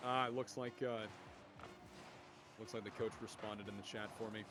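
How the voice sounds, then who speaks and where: A young man with a deep voice streaming esports commentary from home, chatting with viewers and calling plays. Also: little pitch movement, normal speed, over music. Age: young adult. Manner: rambling, chatty, restarts often, bursts louder on goals. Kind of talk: casual solo game commentary and chat reading. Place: home room.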